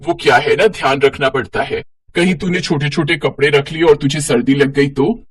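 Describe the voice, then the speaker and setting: A man's voice: a little high, fairly even intonation, moderate pace, husky, far from the mic. A man voicing a strict, suspicious mother-in-law with a sly, admonishing manner in a storybook tale. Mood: sly suspicion.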